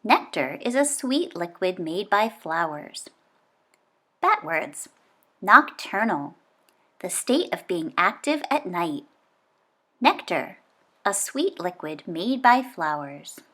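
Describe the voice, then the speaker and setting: A woman reading a children's nonfiction book aloud, expressively and with clear phrasing for young listeners. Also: lowish voice, very animated, takes it slowly, pauses often, bright, forceful tone.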